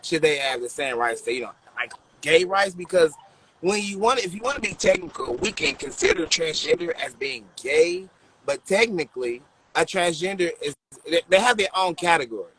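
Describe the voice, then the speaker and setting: A man's voice: sits high, animated, normal speed, a bit bright, slightly rough, low-quality recording. A man in casual podcast chat who rambles and searches for his words as he asks a question. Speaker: podcast co-host.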